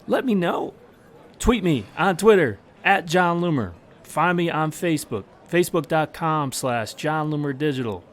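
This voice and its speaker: A man's voice: a little high, lively intonation, moderate pace. A man hosting a podcast, explaining tips in a scripted monologue with emphatic, short punchy phrases. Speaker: podcast host.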